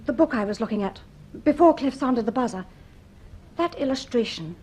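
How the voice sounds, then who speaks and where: A woman's voice: on the high side, expressive, moderate pace, warm tone, slightly rough. An actress playing a young female student in a radio drama, observant, earnest and tentative. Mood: tentative, uneasy.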